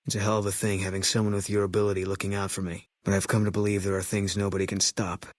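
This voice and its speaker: An actor playing a terse, stoic ex-military sniper in a video game, grim and fatalistic, with a low husky voice. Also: male voice, completely flat delivery, quick pace, no room sound, studio quality, natural voice. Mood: stoic, weary. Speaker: video game companion character.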